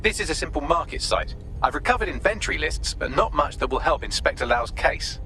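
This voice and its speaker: An actor voicing a witty, smug artificial intelligence assistant in a video game, wry and flippant. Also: male voice, fairly high pitch, animated, brisk, pushed and bright, slight background noise.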